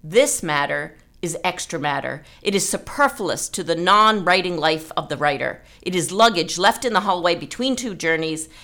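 A woman's voice: lowish voice, expressive, normal speed, few pauses, bright, forceful tone. A woman hosting a literary discussion, explaining and reading aloud like a writing teacher. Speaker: podcast host and writing teacher.

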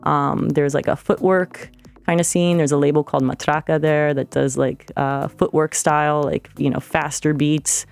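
A woman's voice: low voice, flat delivery, moderate pace, creaky, darkish tone, dry recording. A woman from Florida, a DJ guest in a casual radio studio interview, talking hesitantly with many fillers and restarts. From Florida, United States.

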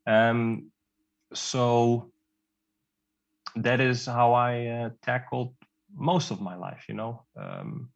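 A man's voice: mid-range voice, lively intonation, very slow, creaky, long pauses, lo-fi sound. A man from the Netherlands, a guest concept artist, talking casually in an interview, rambling with lots of fillers and restarts.

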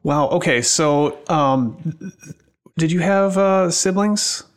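A man's voice: fairly high pitch, takes it slowly. A man in his forties hosts a podcast, asking curious questions and giving short reactions as his guest talks. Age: 40s. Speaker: podcast host. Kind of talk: podcast interview.

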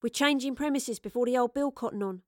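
Woman doing a cheeky high-pitched lass. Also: fast, a little mellow, no room sound, studio quality, natural voice. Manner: chirpy, colloquial, bantering. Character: cheeky, brisk working-class former tavern barmaid turned agent.

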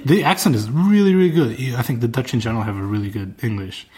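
A man's voice: medium pitch, fast, darkish tone. A young adult man whose first language is Portuguese, chatting casually and enthusiastically, often restarting his sentences. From Brazil or Portugal. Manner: enthusiastic, rambling, frequent restarts. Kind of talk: casual chat.

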